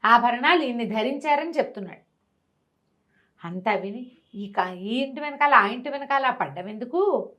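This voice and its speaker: A woman telling a devotional story in lively, conversational Telugu speech, often repeating words and restarting. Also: normal speed, long pauses. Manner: lively, rises and drops in pitch and volume.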